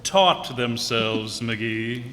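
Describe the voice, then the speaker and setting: A man's voice: mid-range voice, expressive, very slow, pushed and bright, exaggerated voice. A man playing a pompous small-town mayor who gets easily flustered and tongue-tied, in old-time radio comedy style. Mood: polite, reserved. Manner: formal, spluttering, tongue-twisted. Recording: echoey hall stage recording.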